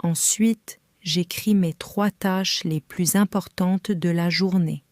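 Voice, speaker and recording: Female reader for beginner lessons, calm and careful, flat even delivery, dry close sound. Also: fairly low pitch, takes it slowly, dry recording. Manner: calm, careful, clearly spaced phrases. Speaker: French reader for learners. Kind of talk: slow scripted reading for beginners.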